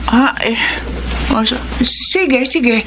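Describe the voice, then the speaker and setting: A woman's voice: on the high side, very slow. An actress playing an anxious, hesitant middle-aged mother in a radio drama, fretful and faltering. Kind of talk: Tagalog radio drama acting. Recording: clear background noise and ambience.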